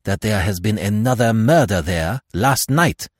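A man's voice: on the low side, expressive, measured pace, no echo, clean studio sound. Man voicing an eager, hesitant waiter. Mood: excited. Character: excited, deferential male hotel waiter.

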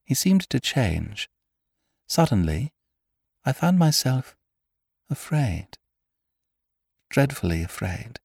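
Man doing a troubled young woman, halting, voice climbing when scared, lively pitch, dry sound. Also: gravelly, husky, no room sound, exaggerated voice. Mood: frightened. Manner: hesitant, trembling, rising to alarm. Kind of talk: audiobook character voice.

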